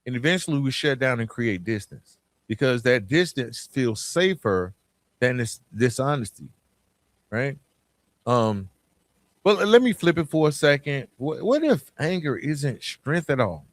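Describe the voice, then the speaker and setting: A man's voice: mid-range voice, sing-song, a little slow, dry recording. Atlanta man talking straight, dry close sound. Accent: Southern US, Atlanta. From Atlanta, southern United States. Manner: conversational and emphatic, repeating phrases and posing rhetorical questions. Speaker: podcast host. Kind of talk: informal motivational monologue.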